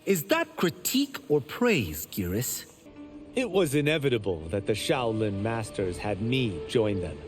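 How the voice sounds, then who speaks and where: A man's voice: mid-range voice, big pitch swings, measured pace, soft background music. An actor playing a proud, boastful young male martial arts champion in a video game, with a smug, self-assured, grand delivery. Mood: reflective. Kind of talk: video game character dialogue.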